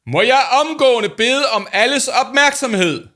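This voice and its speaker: A man voicing a big, self-important adult man who is always hungry and shouts his announcements with great solemnity. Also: lively intonation. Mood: booming. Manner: booming, dramatically solemn shouting.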